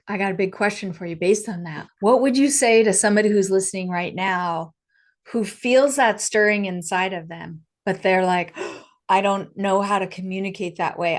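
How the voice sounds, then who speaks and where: A woman hosting an interview podcast, speaking conversationally in an encouraging, emphatic way and voicing what a listener might be thinking. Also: fairly low pitch. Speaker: podcast host.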